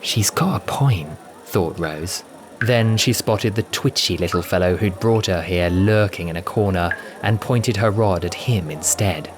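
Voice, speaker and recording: A man's voice: low-pitched, measured pace, over music. A man giving scripted, dramatic narration of a science fiction audio adventure.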